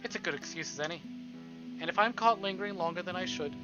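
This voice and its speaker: A man's voice: high voice, quick pace, dull audio, over music. A man streaming a visual novel, reading it aloud theatrically with playful, casual asides.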